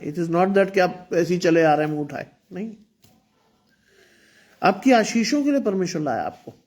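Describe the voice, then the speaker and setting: Male pastor, high voice, sore throat, earnest exhorting sermon, repeats phrases. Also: darkish tone. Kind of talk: online sermon.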